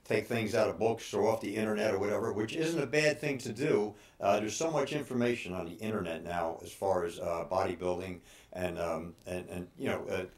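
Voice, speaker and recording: An older man in his sixties speaks in a fairly low voice with a halting, hesitant delivery. He gives informal training advice, pausing often as he goes. The room around him adds a clear echo. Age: 60s. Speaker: bodybuilder and personal trainer. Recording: roomy, echoing room sound.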